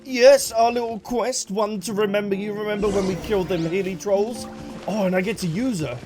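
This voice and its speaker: A man's voice: high voice, fairly even intonation, normal speed, over loud music. A man giving casual playthrough commentary, chatty and full of exclamations and reactions. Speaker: gaming content creator. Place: game audio and music behind voice.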